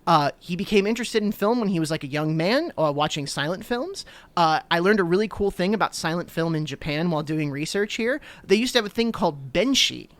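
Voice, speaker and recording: A man's voice: sits high, animated, fairly quick, a bit bright, no room sound. A man in his thirties from New Jersey, co-hosting a podcast, talking eagerly and rambling with lots of fillers. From New Jersey, USA. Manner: enthusiastic, rambling with many fillers. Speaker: podcast co-host. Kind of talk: casual chat.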